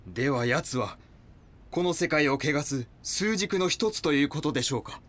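A man's voice: on the high side, expressive, moderate pace, fairly bright tone. A man playing a zealous, ruthless cult lieutenant in an audio drama, reverent and commanding. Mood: intense, obedient.

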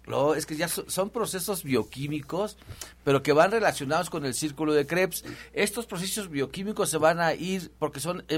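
Animated man plugging a health clinic. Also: fairly high pitch. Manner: loose, repetitive and animated, with many fillers. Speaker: host of a health show. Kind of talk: promotional radio talk.

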